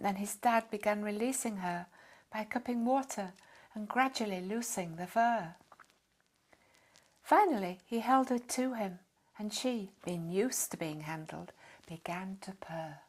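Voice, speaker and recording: A woman narrating a children's story in an expressive, scripted storytelling style. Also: medium pitch. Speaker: storyteller reading a short story.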